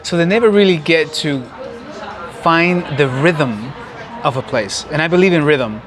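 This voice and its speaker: A man whose first language is Spanish talks as an interview guest, earnest and reflective. His voice sits a little high, and he takes his time with his points outdoors in the city. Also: a little slow, fairly bright tone, some chatter behind. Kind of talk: casual interview.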